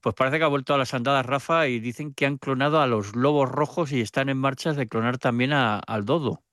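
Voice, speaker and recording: A man's voice: medium pitch, fairly quick, a bit bright, no echo. Dry, close-sounding male host. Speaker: host introducing panelists. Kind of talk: informal radio-style science chat.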